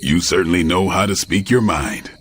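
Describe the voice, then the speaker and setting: A man playing a gruff, sardonic fighter who teases dryly in a video game cutscene, with faint music behind. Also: deep voice, moderate pace, fairly bright tone, a little hiss or hum. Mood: dry teasing.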